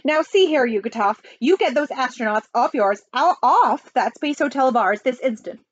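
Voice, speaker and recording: A woman voicing an excitable, childish, blustering male president in a storybook reading, loud and indignant. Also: high-pitched, moderate pace, highs cut off, voice pushed up. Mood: agitated, cocky.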